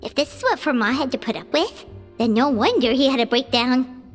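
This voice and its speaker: A woman voicing a tiny, childlike game companion, squeaky, blunt and curious. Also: lively intonation, fairly quick, in a large hall, over loud music. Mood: indignant. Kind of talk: video game voice acting.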